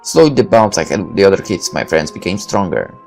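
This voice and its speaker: A man narrates a visual novel in the first person, reading it dramatically. His voice is creaky with a lively range of pitch, over faint background music. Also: fairly quick, low-quality recording.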